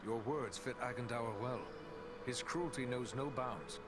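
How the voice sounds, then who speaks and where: An actor playing a steadfast, curious human ranger in a video game, speaking formally and earnestly. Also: male voice, mid-range voice, measured pace, very noisy, close to an everyday voice. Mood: curious, serious. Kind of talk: video game cutscene dialogue.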